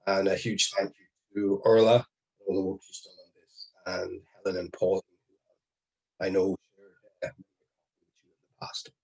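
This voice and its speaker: A man giving an earnest online conference presentation, with halting restarts. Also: deep voice, flat delivery, creaky, darkish tone, breathy edge, low-quality recording. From Ireland. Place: home or office on a video call. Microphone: video call mic.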